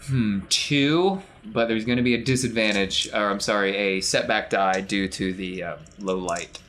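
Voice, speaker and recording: Low-voiced man as game master. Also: moderate pace, fairly bright tone, slight background noise. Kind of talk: improvised narration of a tabletop game.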